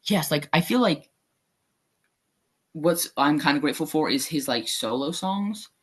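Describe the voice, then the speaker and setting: A man's voice: a little high, expressive, a little slow, fairly bright tone, husky. Chatty young man rambling casually. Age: young adult. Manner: chatty, rambling, frequent "like" fillers. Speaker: guest actor. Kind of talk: casual podcast chat.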